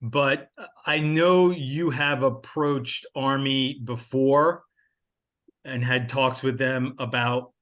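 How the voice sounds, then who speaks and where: A man's voice: medium pitch, steady tone, takes it slowly, fairly bright tone. A male reporter asking a rambling, apologetic question on a press video call.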